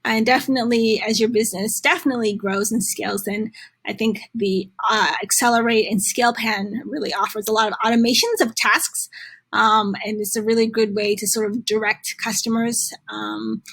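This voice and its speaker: Woman, fairly high voice, tutorial presenter, conversational, halting phrasing, explaining step by step. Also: steady tone, fairly bright tone, husky.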